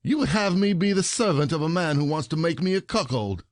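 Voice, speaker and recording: A man's voice: fairly high pitch, animated, fairly quick, no echo, studio quality. A man playing an aggrieved, stubborn common husband, indignant and grudging, in a classic comedy audio play. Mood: seething.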